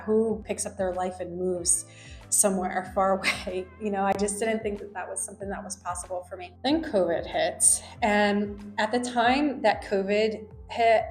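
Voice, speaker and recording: A woman's voice: medium pitch, a little mellow. A woman who grew up in New Jersey, a lawyer, telling her personal story in a reflective, conversational way with many fillers. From United States, grew up in New Jersey. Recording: echoey room with faint music.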